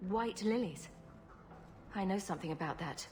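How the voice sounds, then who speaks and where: A woman playing a sarcastic, quick-witted rogue heroine in a video game, with a wry manner. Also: mid-range voice, a little mellow, close to an everyday voice. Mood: grave. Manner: wry, flippant, teasing. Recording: faint background ambience noise.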